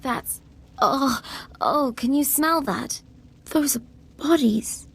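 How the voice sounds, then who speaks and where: A woman's voice: high-pitched, slow pace, creaky, heavily acted. A woman playing an earnest, uneasy military subordinate in a video game, hesitant and deferential. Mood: disgusted. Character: earnest, uneasy young female Imperial subordinate. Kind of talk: scripted video game dialogue.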